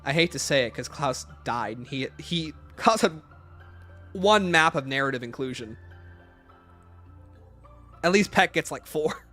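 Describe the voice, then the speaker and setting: A man's voice: a little high, expressive, measured pace, long pauses, pushed and bright, soft background music. A man hosting a livestream, casually reading out questions and answering them with emphatic opinions.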